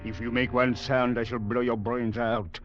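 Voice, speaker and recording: An actor playing a ruthless, contemptuous commandant in a 1940s radio drama, barking menacing orders. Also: male voice, medium pitch, little pitch movement, moderate pace, soft background music, lightly acted. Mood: cold menace. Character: ruthless French Foreign Legion commandant, brutal and contemptuous. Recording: dull, noisy 1940s radio recording.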